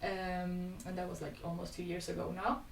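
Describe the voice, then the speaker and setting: A woman's voice: on the low side, completely flat delivery, normal speed, gravelly. A twenty-year-old woman from Sweden, chatting casually as a podcast guest and telling stories. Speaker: podcast guest, youth volunteer. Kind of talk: casual conversational storytelling. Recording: echoey room, distant mic, faint noise.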